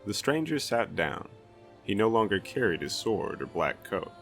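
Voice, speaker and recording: A man's voice: lowish voice, big pitch swings, normal speed, fairly bright tone, soft background music. A man reading fantasy fiction aloud as a dramatic narrator. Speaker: audiobook reader.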